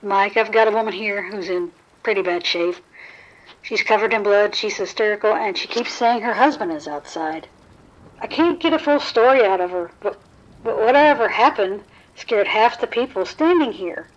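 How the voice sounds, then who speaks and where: An actress playing a concerned sportsbook worker giving an urgent report in a horror audio drama. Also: female voice, mid-range voice, animated, moderate pace, close to an everyday voice. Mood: worried, urgent. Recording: muffled, band-limited call.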